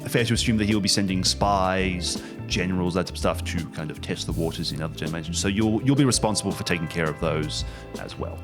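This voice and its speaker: A man playing a harried, officious agent of a goddess company in a tabletop game, explaining things haltingly. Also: sits low, over music, voice pushed down. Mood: briefing.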